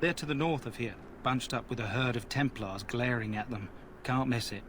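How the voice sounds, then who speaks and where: A man's voice: medium pitch, normal speed, noisy background, close to an everyday voice. An actor playing a friendly, chatty male soldier in a video game, talking in a folksy, gossipy way. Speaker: soldier in a war camp.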